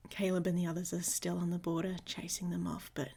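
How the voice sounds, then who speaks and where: A woman playing a hot-tempered, protective she-wolf in an intimate ASMR roleplay, with a husky, breathy voice and a blunt, sardonic manner. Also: fairly low pitch, flat delivery, moderate pace, warm tone. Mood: wary, concerned. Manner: sardonic, blunt, speaking straight to the listener.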